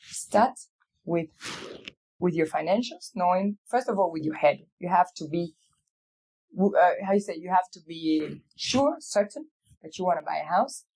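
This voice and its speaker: A woman's voice: fairly low pitch, expressive, moderate pace, creaky, a little mellow, husky, low-quality recording. A woman from Africa speaking as an interview guest in a motivational way, repeating key phrases for weight. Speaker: interview guest, real estate company CEO.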